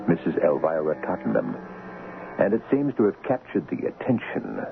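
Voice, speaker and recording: A man's voice: a bass voice, vocal fry. A man hosting and narrating a radio mystery from a script, theatrical, wry and full of rhetorical questions. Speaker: host and narrator. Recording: narrow, phone-like old radio recording.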